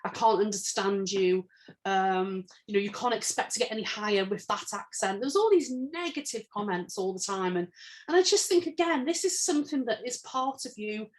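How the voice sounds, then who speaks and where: A woman from England talks through her research findings in a relaxed interview. She is candid and earnest, and her voice sits in a middle register. It lifts with emphasis when she is indignant. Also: medium pitch, normal speed. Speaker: author discussing her research. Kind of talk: conversational interview.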